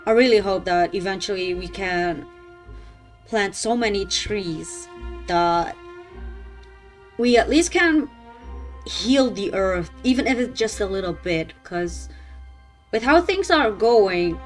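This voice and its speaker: A woman's voice: medium pitch, animated, slow, soft background music. A woman streaming a video game, giving casual commentary in an earnest, opinionated way.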